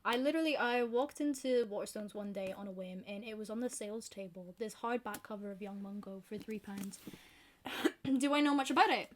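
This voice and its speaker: A young woman from Scotland with a softened Glaswegian accent, chatting casually and rambling through a book vlog. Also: medium pitch, fairly quick. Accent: softened Glaswegian Scottish. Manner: chatty, rambling, self-deprecating, many fillers. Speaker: book vlogger. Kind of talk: casual chat to camera.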